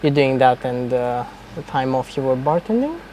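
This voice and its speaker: Relaxed young podcast host. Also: male voice, mid-range voice, moderate pace, a little hiss or hum. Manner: relaxed, hesitant with fillers. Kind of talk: casual interview chat.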